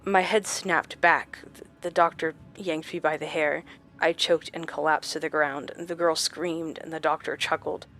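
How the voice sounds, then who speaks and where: An actress playing a shaken woman recounting her captivity in a horror audio drama, halting and dramatic with deliberate pauses. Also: low-pitched, fairly even intonation, fairly bright tone, soft background music. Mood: tense, haunted. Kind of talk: first-person horror audio drama narration.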